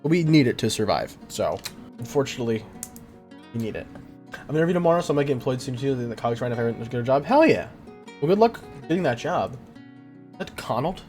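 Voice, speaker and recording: A man's voice: mid-range voice, very animated, fast talker, pauses often, a little mellow, soft background music. A young adult man livestreaming in a casual, slangy, profane and self-deprecating way while he chats with viewers. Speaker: livestreamer, host.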